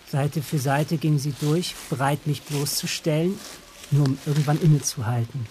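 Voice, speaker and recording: A man with a slightly high voice gives a reflective first-person monologue in a radio drama. Faint ambient sound sits behind his narration. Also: darkish tone, slight background noise. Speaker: actor narrating.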